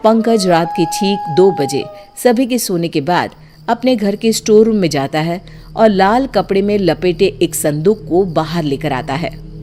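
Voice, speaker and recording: A woman's voice: on the low side, animated, a little slow, warm tone. A woman narrator reading a scripted story. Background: music.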